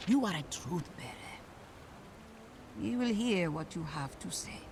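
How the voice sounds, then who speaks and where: An actor playing a solemn, wise old elder in a fantasy game, speaking in a grave, ceremonial way. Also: male voice, sits high, expressive, measured pace. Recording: noisy ambient background.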